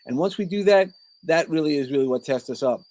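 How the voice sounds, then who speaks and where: A man's voice: on the high side, fast, a little mellow. A middle-aged man with a New York accent, talking informally as an interview guest and often breaking off to rephrase his personal stories. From New York, Brooklyn. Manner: informal, rambling, self-interrupting. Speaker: podcast guest, coach and speaker. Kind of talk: conversational interview, personal storytelling.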